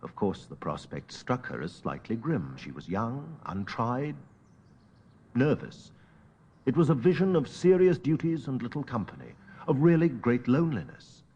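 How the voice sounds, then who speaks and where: An actor playing an educated Victorian gentleman, recounting a haunting memory in a measured, theatrical audiobook narration with weighty pauses. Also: mid-range voice, sing-song, breathy edge. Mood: measured storytelling. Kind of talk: dramatic literary audiobook narration.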